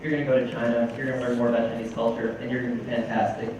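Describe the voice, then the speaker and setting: A man's voice: medium pitch, little pitch movement, rapid-fire, a crowd in the background. A young man from New Jersey giving a personal talk, telling stories and switching between English and Mandarin. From New Jersey, United States. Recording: very echoey hall, distant mic, noisy.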